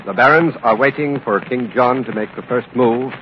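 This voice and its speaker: A man's voice: medium pitch, moderate pace, lightly acted. A man acting as an on-the-scene reporter in a vintage radio news drama, describing events as they unfold. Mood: hushed suspense. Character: urgent male field reporter describing a live event. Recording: muffled, noisy vintage radio recording.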